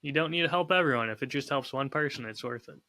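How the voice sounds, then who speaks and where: Bright-voiced man in casual conversation. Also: mid-range voice, fast. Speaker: podcast co-host. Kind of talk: casual chat.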